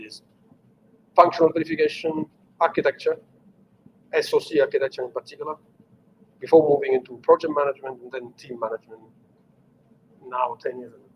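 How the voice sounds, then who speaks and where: An Italian-accented middle-aged man talks through an engineering presentation, stopping often to rephrase with fillers and restarts. His voice sits at an ordinary pitch. The recording is a little dull, with a faint hiss behind it. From Italy. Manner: unscripted, halting with many fillers and restarts. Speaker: engineering manager giving a technical talk. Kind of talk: online technical presentation.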